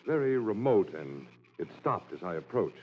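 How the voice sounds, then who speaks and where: Stubborn older explorer in radio mystery; low voice, big swings of pitch, emphatic and dramatic. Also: male voice, big pitch swings, normal speed. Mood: grave, suspicious. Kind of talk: 1940s radio drama acting. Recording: muffled, noisy old radio recording.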